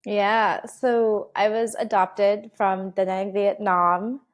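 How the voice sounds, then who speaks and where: A woman's voice: medium pitch, fairly even intonation, slow. A woman in her twenties, raised in the United States, chatting as an interview guest in a bubbly way with frequent laughs and fillers. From United States (Oregon). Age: 20s. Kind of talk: casual interview chat.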